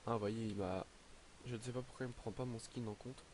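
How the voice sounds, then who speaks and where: A teenage boy talking through his game on a headset at home, hesitant and full of fillers. His voice sits at a middle pitch and is slightly stuffy from a cold. A faint hiss runs under the recording. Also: steady tone, fast, darkish tone. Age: teens. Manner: hesitant, lots of "donc, euh" fillers. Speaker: gaming YouTuber. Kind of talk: casual game commentary.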